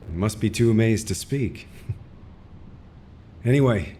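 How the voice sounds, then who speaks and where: A man's voice: on the low side, expressive, very slow, dark tone, slight background noise. An actor voicing a patient, reassuring young male mentor in a game cutscene.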